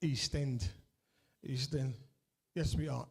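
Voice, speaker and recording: A man's voice: mid-range voice, fairly even intonation, slow, creaky, husky. A middle-aged man with an East London accent doing a stand-up set and chatting with the crowd, swearing freely and leaning on tags like "innit" and "right". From East London, England. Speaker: stand-up comedian. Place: comedy club with a live audience.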